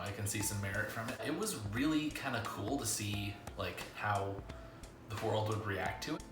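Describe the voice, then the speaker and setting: Guy doing a casual review vlog, deepish voice, stop and start talking, echoey room w music behind. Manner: halting, pauses mid-sentence. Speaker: comic book reviewer and video host.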